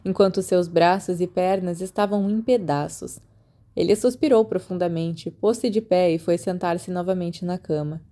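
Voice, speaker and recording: A Brazilian woman narrates fiction in a mellow, relaxed voice at a medium pitch. She reads from a script in the style of an audiobook. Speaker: podfic narrator.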